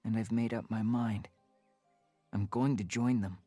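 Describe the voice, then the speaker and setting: A man's voice: fairly low pitch, steady tone, unhurried, dark tone, no echo, faint music behind, voice pushed up. A man voicing a restless young house tomcat in a storybook reading, speaking in an earnest, firm, determined way.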